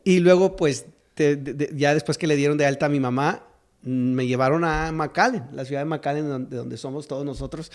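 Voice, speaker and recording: A man's voice: fairly high pitch, moderate pace. A man in his fifties from South Texas, a pastor and guest, telling stories from his life in a hesitant, restarting way with easy laughter. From South Texas, United States. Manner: hesitant, with frequent fillers and restarts, laughs easily. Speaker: pastor and singer-songwriter, guest. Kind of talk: conversational storytelling.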